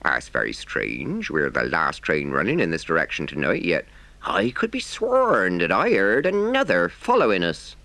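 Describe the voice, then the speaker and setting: A man acts out a storybook engine driver, a working man who is stern yet soft-hearted. His talk is plain, folksy and emphatic, with pitch that swings widely. It sounds close and dry. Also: huge pitch swings, moderate pace, dry recording, heavily acted. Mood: puzzled. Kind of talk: storybook character voice.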